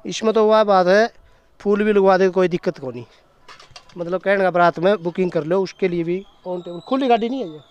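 A man's voice: high-pitched, brisk, darkish tone, clear, resonant tone, dull audio. A man, hosting a casual walkaround of a used car lot outdoors, chatting and talking up prices into a handheld mic. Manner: chatty, hyping prices, asks dealer questions. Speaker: host of a used car channel. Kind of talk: casual walkaround sales video.

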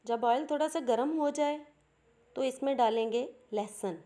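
A woman's voice: high-pitched, moderate pace, mellow. A woman with a Pakistani Urdu accent, hosting a cooking channel and patiently explaining each step of a home recipe.

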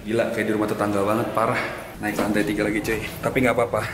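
A man's voice: lowish voice, monotone, fast, noisy background. A young man with a Jakarta Indonesian accent chatting casually to a camera held at arm's length, slangy and chummy like a vlogger. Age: young adult. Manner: slangy, chummy address to viewers. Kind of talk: casual vlog chat to camera. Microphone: handheld camera at arm's length.

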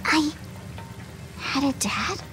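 A woman's voice: high voice, lively intonation, very slow, music in the background, exaggerated voice. A woman voicing a scrappy nine-year-old orphan girl, childlike and breathless, in a game cutscene. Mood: alarmed.

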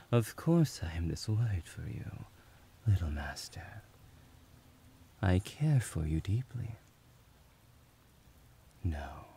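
A tender, devoted young servant, played by a man in an ASMR roleplay, murmuring in a very deep, mellow voice. He drifts into soft whispers and speaks at an unhurried, lingering pace, soothing and affectionate throughout. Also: vocal fry, husky, exaggerated voice.

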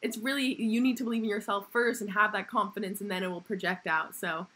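A woman's voice: mid-range voice, steady tone, fast, a bit bright. A woman hosting a podcast interview, asking long questions and affirming her guest often. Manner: affirming, frequent backchannels. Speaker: podcast host. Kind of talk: conversational interview.

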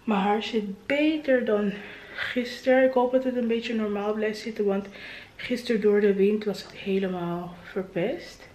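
A woman's voice: medium pitch, steady tone, unhurried, a little mellow, roomy sound. Young woman vlogging, noisy recording. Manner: informal, mixing in English slang. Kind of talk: casual vlog chat to camera. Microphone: camera at arm's length.

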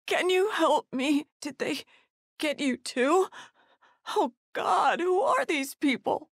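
Scared desperate mom in a horror game, really high pleading voice, slow and gaspy. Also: female voice, a bit bright, dry recording, clean studio sound, exaggerated voice. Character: desperate, frightened mother searching for her missing son. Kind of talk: video game survival horror voice lines.

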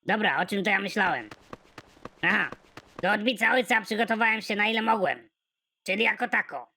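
A performer voicing a naive, hapless cartoon hero who tells his absurd story in a flat, matter-of-fact way. Light noise from a home recording sits under him. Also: mid-range voice, brisk. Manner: matter-of-fact, deadpan delivery of absurd jokes. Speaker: narrator and protagonist. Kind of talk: animated comedy narration.

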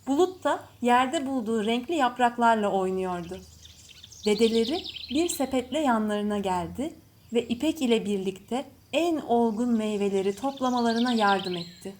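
A woman storyteller reading a scripted children's bedtime story in measured phrases. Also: fairly high pitch, lively intonation, a little mellow. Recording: faint background noise with birdsong. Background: birds chirping.

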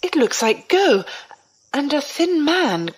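A woman in an audio drama playing a resolute martial-arts sister, deliberate and determined. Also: high voice, sing-song, heavily acted, poor audio.

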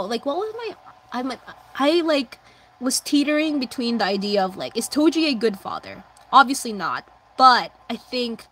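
A young woman chatting casually with her viewers while drawing, answering chat and humming along, her voice slightly hoarse. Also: on the high side, a bit bright, a little hiss or hum, rough recording. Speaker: livestreamer drawing anime fan art.

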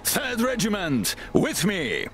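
An actor playing a stern, dutiful army commander in a video game, speaking formally and with indignant authority. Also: male voice, sits high, huge pitch swings, takes it slowly, fairly bright tone, soft background music, full character voice. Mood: grudging. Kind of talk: dramatic video game voice acting.

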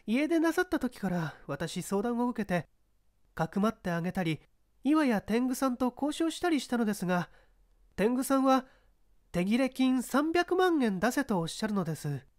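A woman's voice: on the high side, sing-song, moderate pace, darkish tone, dry recording, studio quality. A woman playing a young actress who speaks politely and earnestly, pleading, in a dramatised audiobook.

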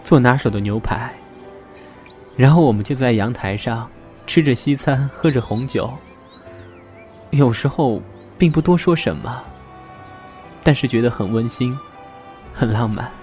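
Male actor as gentle young man, medium pitch, reflective and hesitant, audio drama with faint music behind. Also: a little slow, long pauses, lightly acted. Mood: wistful. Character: gentle, wistful young man recalling lost love.

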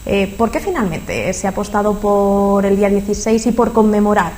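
A young woman with a Spanish accent, her voice mid-range, hosts a studio interview in a formal, scripted way and pauses on small fillers. A faint hiss runs underneath. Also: mid-range voice, lively intonation, a little mellow. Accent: Spanish from Spain. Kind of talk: local TV interview. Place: local TV studio.

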